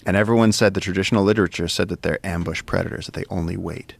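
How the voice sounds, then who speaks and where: A man's voice: sits low, steady tone, very fast, gravelly. A man who works as a wildlife field researcher, telling field stories in a loose, vivid way. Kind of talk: casual storytelling.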